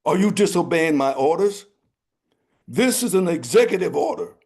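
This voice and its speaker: A man voicing a furious, gluttonous king who shouts orders. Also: fairly high pitch, animated, normal speed, creaky, pitched above the performer's own voice.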